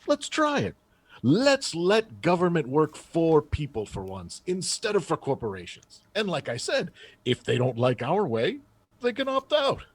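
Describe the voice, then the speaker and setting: An actor playing a confident, combative presidential chief of staff in a radio theater piece, answering in an assertive, polished politician's manner. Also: male voice, fairly high pitch, huge pitch swings, a little slow, husky, exaggerated voice. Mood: persuasive. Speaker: actor in an audio drama.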